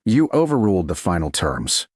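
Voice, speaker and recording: A man's voice: sits low, very animated, fairly quick, a little mellow, dry recording, studio quality. A man voicing a proud, defiant medieval woman negotiator in an audiobook, with clipped, indignant retorts. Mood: furious. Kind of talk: audiobook character dialogue.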